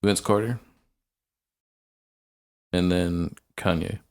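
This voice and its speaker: Low-pitched man co-hosting a casual podcast. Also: steady tone, extremely slow, darkish tone. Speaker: podcast host. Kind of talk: casual slangy podcast chat.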